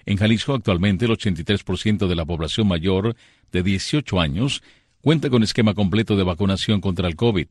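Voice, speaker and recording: A male news anchor reads the bulletin in a measured, formal way. His voice sits very low. The sound is dry and close to the mic. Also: slightly rough, no room sound.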